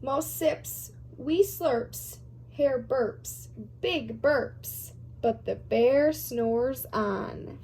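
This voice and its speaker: A woman's voice: high-pitched, extremely slow, a little mellow, a little hiss or hum, poor audio. A woman reading a picture storybook aloud for young children.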